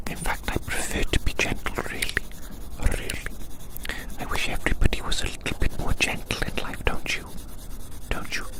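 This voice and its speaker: A man whispering in a gentle, soothing, breathy and unhurried ASMR roleplay, softly repeating his phrases. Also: rough recording. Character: gentle giant human befriending a little creature. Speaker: ASMR performer. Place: outdoors in the grass.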